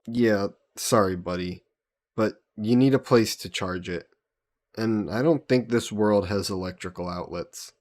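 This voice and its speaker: A man's voice: on the low side, measured pace, darkish tone, close to an everyday voice. A man playing a friendly, patient human hero from Earth in a roleplay audio drama, explaining things conversationally to a listener.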